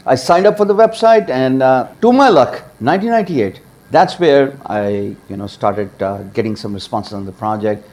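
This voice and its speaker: A man in his forties from Pakistan tells his own career story straight to camera, talking with emphatic jumps in pitch and frequent uh fillers. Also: animated, moderate pace, hardly any pauses, a little mellow. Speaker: freelancer and YouTuber. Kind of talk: direct-to-camera personal story. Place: small home studio office, indoors. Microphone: small lapel mic.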